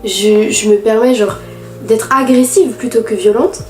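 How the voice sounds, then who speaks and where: A teenage girl with a head cold, talking nasally through a candid, halting confessional vlog. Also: fairly high pitch, darkish tone, reverberant, soft background music. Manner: halting, candid, many restarts and fillers. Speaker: vlogger.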